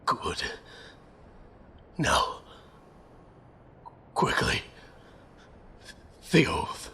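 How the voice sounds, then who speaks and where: A man playing a gentle, resolute young soldier captain who is dying, speaking weakly and haltingly in an audio drama. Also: a little hiss or hum. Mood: fading, urgent. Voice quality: weak, creaky, breathy pauses. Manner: halting, laboured delivery.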